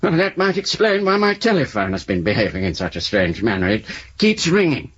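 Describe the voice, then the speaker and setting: A man's voice: medium pitch, very animated, fairly quick, husky. A man playing a flippant, theatrical novelist and ageing ex-communist in a radio drama, with an English accent and a wry, urbane, wordy manner. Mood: wry, reminiscing. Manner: wry, verbose, urbane, mock-courteous. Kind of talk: 1970s radio drama acting. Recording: dull lo-fi 1970s radio recording.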